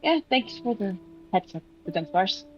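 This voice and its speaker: A woman improvising dialogue in a tabletop roleplay, halting and repeating herself, on a dry, slightly dull mic. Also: medium pitch, big pitch swings, faint music behind. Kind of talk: improvised in-character roleplay chat.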